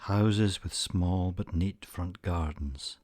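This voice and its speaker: A man with a low voice leads a guided meditation at a very slow pace. His delivery is soothing and measured, broken by frequent pauses. The sound is dry and close, with no room around it. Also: darkish tone, no echo. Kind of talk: guided meditation narration, scripted.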